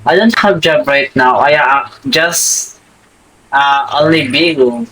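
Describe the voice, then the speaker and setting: A man's voice: medium pitch, slow, bright, forceful tone, low-quality recording. A young man from the Philippines with a Filipino accent, chatting casually on a video call in hesitant English with many restarts. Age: young adult. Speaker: livestream host practising English.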